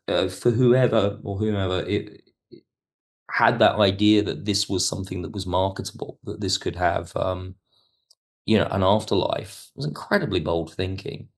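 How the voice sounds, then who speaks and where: A man speaking as an expert guest in an interview, explaining things in a measured, digressive way. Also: low voice, vocal fry. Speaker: podcast guest, television history expert. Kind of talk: conversational interview commentary.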